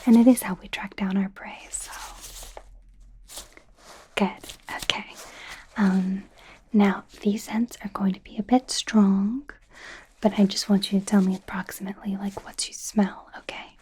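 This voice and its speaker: Girl acting as a werewolf doctor lady, asmr exam, super breathy whisper, slow and kinda awkward, friendly n eager. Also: mid-range voice, flat delivery. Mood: clinical, gentle. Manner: hesitant, rambling, gently awkward. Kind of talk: ASMR medical exam roleplay.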